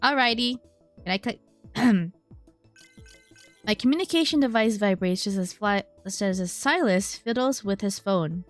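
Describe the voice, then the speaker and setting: Girl streamer reading game dialogue out loud, expressive, wry little comments, soft game music behind her. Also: medium pitch, unhurried, pauses often. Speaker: VTuber streamer playing a story game. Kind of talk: reading game text aloud with casual reactions. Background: game soundtrack.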